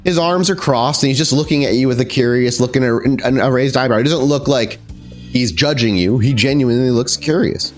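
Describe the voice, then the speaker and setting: A man narrating as the storyteller of a tabletop role-playing game, in a loose, animated manner with casual swearing. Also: mid-range voice, fairly quick, soft background music.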